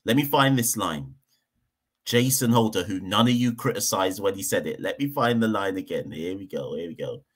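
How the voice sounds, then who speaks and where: A man's voice: lowish voice, lively intonation, normal speed. A man from Jamaica, hosting a cricket podcast and giving a heated opinion rant, hammering points home by repeating them. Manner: emphatic, repeats points for stress.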